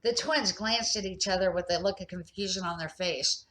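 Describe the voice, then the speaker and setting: A woman's voice: fairly low pitch, normal speed, a bit bright. An older woman, a grandmother, reading a children's storybook aloud as an amateur narrator, with expression and the odd small stumble.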